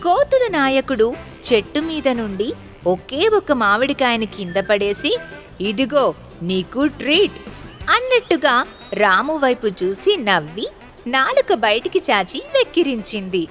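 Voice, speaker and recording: A woman's voice: high voice, very animated, measured pace, fairly bright tone, faint music behind. A woman narrating scripted moral stories for children as a storyteller.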